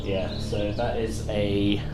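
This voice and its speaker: A man's voice: lowish voice, level tone, slow pace. A British man casually narrating a tabletop game as game master. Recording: clear background noise.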